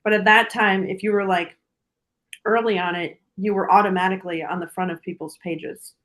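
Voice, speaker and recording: A woman's voice: mid-range voice, steady tone, moderate pace, fairly bright tone. Giggly woman in her forties chatting casually. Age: 40s. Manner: giggly, laughs often while talking. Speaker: podcast guest, dancer and influencer. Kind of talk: casual chat.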